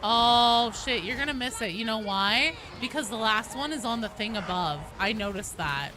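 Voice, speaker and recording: A woman's voice: a little high, expressive, normal speed, over music. A woman doing casual live gaming commentary, informal and sweary, chatting with her viewers. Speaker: game streamer, host.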